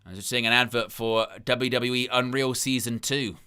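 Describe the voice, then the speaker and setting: Bright, crisp-voiced man hosting a livestream. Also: medium pitch, steady tone, normal speed. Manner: incredulous, rambling asides; reads chat comments aloud and plugs likes. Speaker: wrestling livestream host. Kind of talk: live watchalong commentary.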